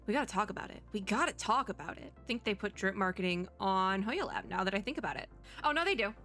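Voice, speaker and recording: A woman giving casual, excited reaction commentary as a streamer, rambling, gushing and often cutting herself off. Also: mid-range voice, fast talker. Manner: rambling, gushing, self-interrupting. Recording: music playing behind the voice. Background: game trailer music.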